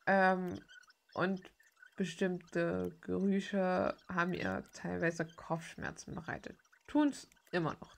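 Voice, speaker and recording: A woman's voice: fairly low pitch, creaky. A young woman chatting informally and hesitantly while she draws, thinking aloud in slangy language. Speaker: art vlogger. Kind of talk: casual rambling chat while drawing. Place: cluttered room at home, at a desk.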